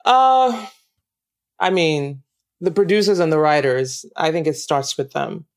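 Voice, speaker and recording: Nigerian woman, interview guest, smooth resonant tone, thoughtful with frequent ums. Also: sits low, a little slow. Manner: warm, reflective, laughs easily, many ums. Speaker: actress guest. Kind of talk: casual interview chat.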